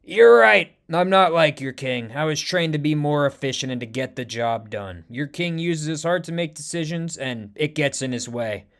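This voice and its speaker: A man's voice: on the high side, expressive, moderate pace, hardly any pauses, fairly bright tone. A man voicing a cold, arrogant male super-soldier villain who speaks in a smug, taunting way.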